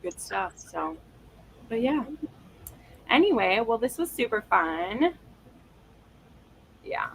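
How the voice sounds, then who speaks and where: A woman chatting casually and excitedly on a livestream, with slangy, sweary, gushing talk. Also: a little high, slow pace, gravelly, pauses often, rough recording. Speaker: book livestream co-host. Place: live online video chat. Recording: faint background noise.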